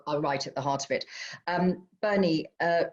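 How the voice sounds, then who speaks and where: A woman's voice: deep voice, moderate pace, highs cut off. A middle-aged woman hosting a literary panel, chatty as she passes on questions from the audience. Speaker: host chairing an author question session. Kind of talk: relaxed literary panel moderating.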